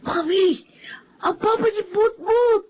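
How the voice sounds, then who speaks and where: A woman's voice: very high voice, slow pace, darkish tone, a little hiss or hum, low-quality recording. A Filipino woman voicing a polite, curious little schoolgirl in an audio melodrama.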